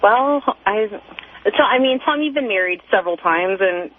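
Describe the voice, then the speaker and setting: A woman's voice: mid-range voice, expressive, measured pace. Young woman on a tinny phone line. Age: 20s. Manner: hesitant, rambling, deferential. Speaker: caller. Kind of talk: casual phone-in chat. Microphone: telephone. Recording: tinny, narrow telephone line on radio.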